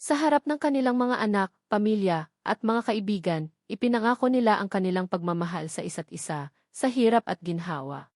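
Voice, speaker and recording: A Filipino woman narrates a scripted love story. Her pitch sits in the middle range for a woman. The sound is dry, with no echo around the voice. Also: animated, a little fast, darkish tone.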